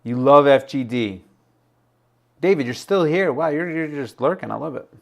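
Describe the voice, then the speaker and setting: A man casually hosting a livestream, rambling, laughing often and reading out viewer chat, his voice a little raspy. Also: on the high side, lively intonation, moderate pace. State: slightly raspy voice. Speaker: livestream host.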